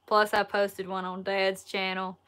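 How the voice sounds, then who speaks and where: A woman in her twenties with a Southern Alabama accent, chatting casually on a livestream and answering viewer questions in a relaxed, folksy way from a wood-paneled room. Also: medium pitch, unhurried. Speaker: outdoors YouTuber answering live chat. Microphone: laptop or phone camera at arm's length.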